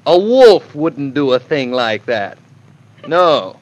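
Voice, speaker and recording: A young American husband from a 1940s radio play, with a mid-range voice. He is affectionate and excitable, given to mock-stern bluster and small stammers. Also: mid-range voice, a little slow, highs cut off. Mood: blustering, mock-indignant. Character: tender, excitable young husband and expectant father.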